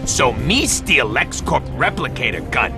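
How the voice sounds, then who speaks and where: An actor playing a slow-witted, earnest, clumsy superhero clone in a cartoon video game, talking in broken caveman grammar with childlike earnestness. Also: mid-range voice, sing-song, creaky, music in the background. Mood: earnest, explaining.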